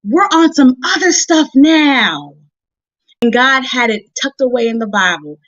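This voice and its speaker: A woman preacher with a high-pitched voice and a dry, slightly dull recording. She delivers a motivational Bible message in a folksy, conversational way, addressing women directly. She leans on repeated phrases to drive each point home. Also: very animated, a little slow, fairly bright tone, dry recording. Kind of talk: motivational sermon on a live stream. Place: live video stream.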